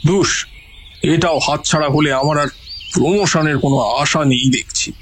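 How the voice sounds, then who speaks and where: Worried male police officer, played by an actor for detective audio drama: fretful, raised pitch, light background noise. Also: normal speed, close to an everyday voice. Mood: fretful, resigned. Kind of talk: audio detective story acting.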